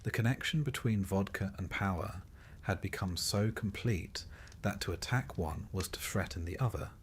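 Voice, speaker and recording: A man narrating a scripted history sleep story in a measured, literary way with dry irony. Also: low-pitched, a little hiss or hum.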